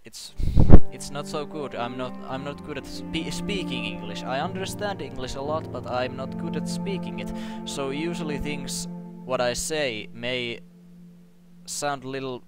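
A young man with a Finnish accent speaks at a slow, unhurried pace and a middling pitch, groping for English words and restarting sentences. He talks into a headset over loud game music. When the game frightens him he breaks into yelps and shouts. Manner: halting, searching for words, many restarts. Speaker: gameplay commentator. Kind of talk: let's play commentary.

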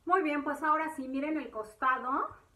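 A woman's voice: high voice, normal speed, bright, forceful tone. A woman, hosting a home cooking tutorial in her kitchen and chatting viewers through each step. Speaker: cooking channel host.

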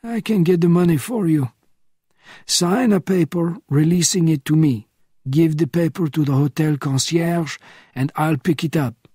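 A calm, wry old Frenchman speaks in a higher, lilting voice, as a man reads him aloud. He sounds husky and breathy, and the storybook reading is close and dry, with no room sound. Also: unhurried, dry recording. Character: elderly male French agent, wry and calm.

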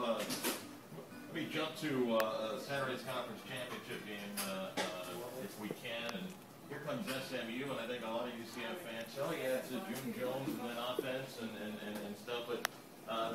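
A male sports radio host working through long, halting questions full of filler sounds. His mid-pitched voice sounds distant and roomy, like a broadcast echoing through a barbershop. Loud shop ambience runs underneath. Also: very noisy. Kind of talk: live sports talk radio. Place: barbershop during a live broadcast. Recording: radio broadcast heard from far in an echoey shop.

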